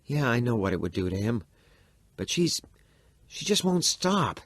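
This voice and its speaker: A man voicing an anguished young working man who talks in strained, halting bursts. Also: mid-range voice, big pitch swings, dry recording, clean studio sound, pitched above the performer's own voice.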